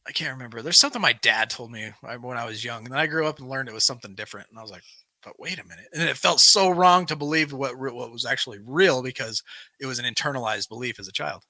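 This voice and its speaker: A man's voice: mid-range voice, quick pace, bright, forceful tone, dry recording. A man hosting a live recovery show, reading self-help material aloud and breaking off into informal, bantering asides.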